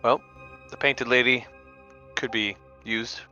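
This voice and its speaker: A man playing a tabletop game, speaking in a measured way in character as a ship captain. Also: medium pitch, steady tone, bright, forceful tone, highs cut off, faint music behind. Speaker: player.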